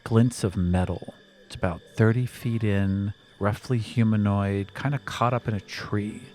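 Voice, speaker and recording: A man narrating as game master in a calm, descriptive way. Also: lowish voice, unhurried.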